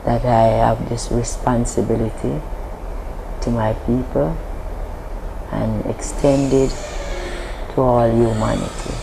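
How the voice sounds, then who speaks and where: A Jamaican woman speaks at a very slow, measured pace, her voice gravelly with fry. She tells a long history as an interview guest, deliberate and restarting her sentences. A steady hiss sits under the recording. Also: very deep voice. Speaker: interview guest, Maroon leader. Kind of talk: unhurried storytelling in an interview.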